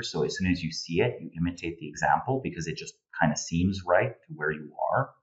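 A man in his thirties with a Slovenian accent, explaining his analysis discursively in an interview over a video call. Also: deep voice. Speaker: guest political analyst. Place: home room with bookshelves, indoors. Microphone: earphone headset on video call. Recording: slightly dull video-call audio.

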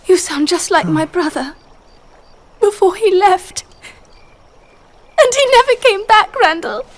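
An actress playing a sad, vulnerable young girl in an animated game. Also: very high voice, unhurried. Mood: tearful. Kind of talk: animated game cutscene acting.

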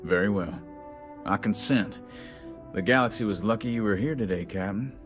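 An actor playing a hesitant, formal government council member in a video game. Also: male voice, fairly low pitch, moderate pace, over music, lightly acted. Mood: reluctant. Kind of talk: video game character dialogue.

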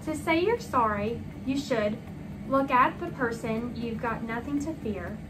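A woman's voice: fairly high pitch, lively intonation, a little slow, background noise. A woman voicing a stern male school principal who admonishes and instructs in a children's storybook. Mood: instructive.

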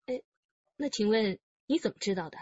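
A woman's voice: medium pitch, animated, fairly quick, mellow, slightly rough, no echo, lo-fi sound. An actress playing a direct, questioning female police detective in a radio drama. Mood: probing.

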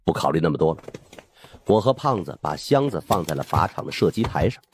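Male audiobook narrator for suspense fiction: deep, highly expressive, dramatic storytelling. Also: fairly quick, a little hiss or hum. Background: background music.

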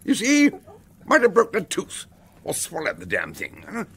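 An actor playing a blustering, sputtering older English colonel in a radio play. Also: male voice, sits high, huge pitch swings, moderate pace, fairly bright tone, slight background noise, heavily acted.

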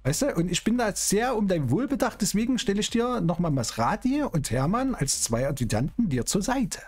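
Male game streamer, husky and very animated, casual teasing delivery, music behind. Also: sits high, fairly quick. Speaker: streamer playing a role-play game. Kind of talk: casual in-character gaming chat. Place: indoor streaming room.

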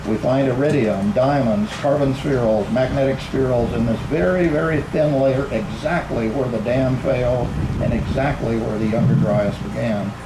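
A man on a discussion panel, explaining science to an audience in a measured way with pauses mid-sentence. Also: medium pitch. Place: large open-sided tent outdoors. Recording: echoey, noisy outdoor event tent.

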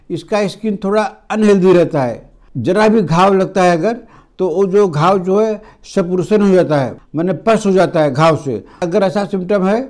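A man's voice: high voice, darkish tone. An older man from India, a homeopathy doctor, explaining remedies straight to camera in a plain, repetitive teaching manner, recorded on a lapel mic in a studio. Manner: addresses viewers as friends, repetitive explanatory phrasing. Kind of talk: direct-to-camera health lesson. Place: indoor studio before a green screen.

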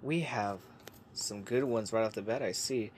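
Young male creator, casual comment-reading vlog, hushed delivery, chatty and halting. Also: on the low side, a little hiss or hum. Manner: chatty, hesitant, many fillers and restarts; kept quiet so family can sleep. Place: home laundry room.

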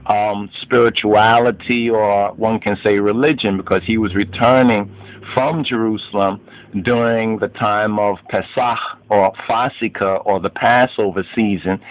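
A man narrates an informal lesson on religious history, speaking slowly in a fairly low voice. He is didactic and halting, often restating a term in other words. The recording is dull and lo-fi, like a home-made voice-over. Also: no echo. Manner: didactic, halting delivery, repeats and glosses terms in several languages. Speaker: video narrator and lay religious teacher.

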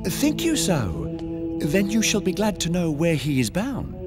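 A sly robed schemer, fairly high-voiced and extremely sing-song. His phrasing is florid and courtly, pure video game theatrics, with loud music behind. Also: wildly swooping pitch, measured pace. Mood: sly, insinuating. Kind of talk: dramatic video game acting.

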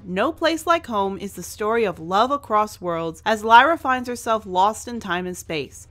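A woman's voice: fairly high pitch, fairly bright tone. A woman hosting a review in semi-scripted commentary, speaking in an enthusiastic, emphatic way. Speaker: host and reviewer.

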